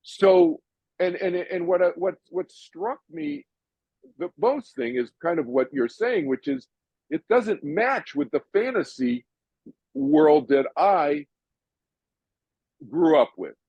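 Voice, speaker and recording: An older man from Massachusetts hosting an audience Q&A over a video call, chatty and animated, often restarting his sentences. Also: sits high, takes it slowly, creaky, pauses often, slightly rough, no echo, muffled recording. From Massachusetts, USA. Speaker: host answering audience questions.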